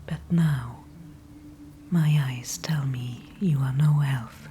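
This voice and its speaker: A woman voicing an ancient, calm and wise female tree spirit in an ASMR audio roleplay, speaking only in a soft whisper with formal, old-fashioned phrasing. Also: a bass voice, slow pace, a little hiss or hum. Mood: calm, knowing. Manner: soft unvoiced whisper throughout.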